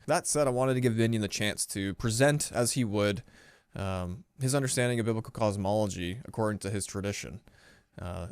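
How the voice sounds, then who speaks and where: Low-voiced man hosting a channel. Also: clear, resonant tone, dry recording. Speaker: channel host. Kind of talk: scripted-sounding channel introduction and friendly guest chat.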